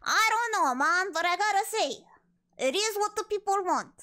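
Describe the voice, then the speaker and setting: A woman's voice: extremely high pitch, lively intonation, moderate pace, pushed and bright, slight background noise. A woman livestreamer with a childlike, cutesy voice, chatting casually and playfully with her viewers and prone to squealing.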